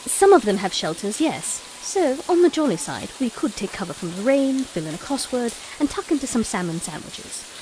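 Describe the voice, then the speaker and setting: Actress as whimsical time-traveller, higher female voice, very animated, wry, observant, audio drama, faint rainy noise. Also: normal speed, hardly any pauses. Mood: jolly, brisk.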